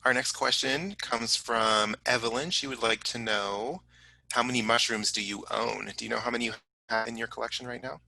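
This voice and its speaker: A man's voice: mid-range voice, steady tone, normal speed, vocal fry, pushed and bright, no room sound, rough recording. A man hosting a casual online question-and-answer session for children, speaking in an encouraging, appreciative manner. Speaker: host of an online children's science story event. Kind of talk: casual audience Q&A moderating.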